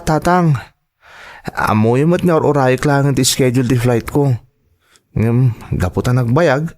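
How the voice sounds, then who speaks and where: A man acts as a dutiful grown son in an Ilocano drama. His pitch sits in the middle, and he speaks with an Ilocano accent, earnest and respectful toward his elders. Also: darkish tone, natural voice. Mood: reassuring. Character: earnest, respectful adult son hoping to work abroad. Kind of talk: Ilocano radio-style drama acting.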